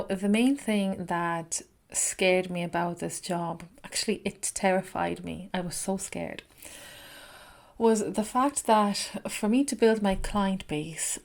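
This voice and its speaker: A woman talks through a personal story at an easy, deliberate pace, her voice sitting a little low. She lets pauses hang between thoughts and sounds reflective and candid, like a vlogger recounting her own past. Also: a little mellow. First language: not Spanish.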